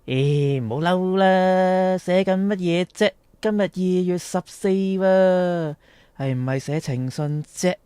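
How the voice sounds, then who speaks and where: A woman acting a lovestruck, excitable and cheeky teenage schoolboy in a youth radio drama, with a Hong Kong accent. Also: fairly low pitch, takes it slowly, clean tone, dry recording, studio quality. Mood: teasing, smug.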